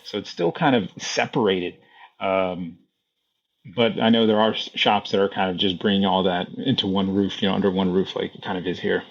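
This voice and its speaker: A man in his thirties from Brazil, a podcast guest talking casually about running a repair shop, relaxed and repeating himself, often saying "man". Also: sits low, moderate pace, gravelly, husky, muffled recording. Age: 30s. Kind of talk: casual conversation.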